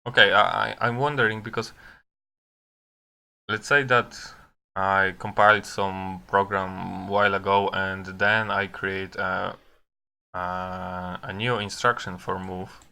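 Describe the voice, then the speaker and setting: A man who is a non-native English speaker gives unscripted live coding commentary, thinking aloud haltingly with long pauses. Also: low voice, level tone, gravelly, pushed and bright, clean tone. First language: not English. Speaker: programmer live-streaming his coding.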